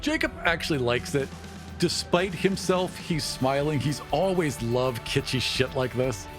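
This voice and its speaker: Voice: medium pitch, animated, a little slow, music in the background. Someone narrating an improvised tabletop roleplaying game in a casual, playful, chuckling way. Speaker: game master and player.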